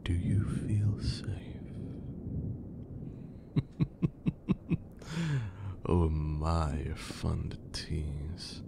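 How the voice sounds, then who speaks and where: A deep-voiced, imperious vampire lord, acted out by a man for an ASMR roleplay. He drawls theatrically, teasing and threatening in equal measure. The voice often slips into a breathy whisper and crackles with vocal fry. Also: extremely slow, pauses often, heavily acted. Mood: teasing menace. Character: haughty, menacing, teasing male vampire lord. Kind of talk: ASMR roleplay, scripted character acting.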